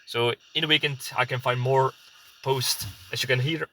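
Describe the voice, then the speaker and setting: A man's voice: medium pitch, steady tone, normal speed, noisy background. A man with a Belgian accent talks casually in a rambling vlog style, hesitating and searching for words. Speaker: prepper video blogger. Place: inside a parked car.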